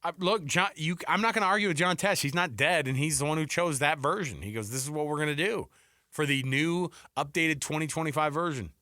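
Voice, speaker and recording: A man's voice: on the high side, a little fast, bright, forceful tone, no room sound, studio quality. A man in his forties or older hosting a sports talk show, bantering casually and repeating himself for emphasis. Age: 40s or older. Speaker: sports radio show host.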